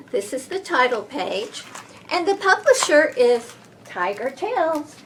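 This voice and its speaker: A woman reading a picture book aloud to children, expressive and deliberate, with playful emphasis. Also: high-pitched, slight background noise. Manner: expressive, deliberate, with playful sound effects and gasps.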